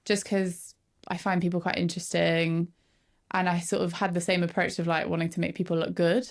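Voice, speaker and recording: Woman, late twenties, casual chatty guest, slightly low voice. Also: flat delivery, brisk. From northern England. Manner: chatty, laughs often, lots of fillers. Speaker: photographer guest. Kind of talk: casual podcast interview.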